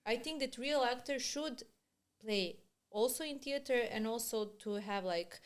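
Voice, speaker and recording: Chatty woman podcast host, higher voice. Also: a little slow. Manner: chatty, rambling questions with many fillers. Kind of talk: casual interview chat.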